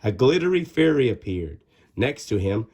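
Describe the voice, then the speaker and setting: A man reading a children's storybook aloud in an expressive, dramatic way with drawn-out words and pauses. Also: mid-range voice, husky. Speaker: storybook reader. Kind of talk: animated children's read-aloud narration.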